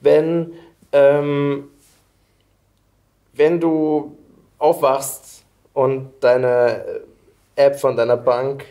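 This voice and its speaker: A man's voice: mid-range voice, level tone, very slow, creaky, long pauses, warm tone. A man hosting a podcast in a casual, rambling way, hesitating and thinking aloud as he goes. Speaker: podcast host and interviewer. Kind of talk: casual chat.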